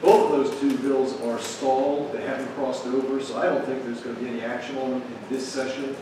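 A man explaining things in a measured way as an official at a public meeting. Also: medium pitch, level tone. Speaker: town official advising a board. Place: large meeting room, distant camera. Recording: very echoey room, distant mic, noisy.

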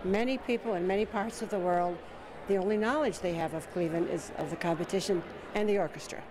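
A woman's voice: medium pitch, quick pace. A woman giving interview remarks. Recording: crowd noise behind. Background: crowd.

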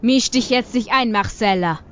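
A woman's voice: on the high side, clear, resonant tone, dull audio, lightly acted. An actress playing a cold, mocking villainess in a radio drama, condescending and menacing as she draws out her words. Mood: cold, dismissive. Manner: condescending, menacing, drawn-out delivery. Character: cold, mocking villainess, ringleader holding children captive.

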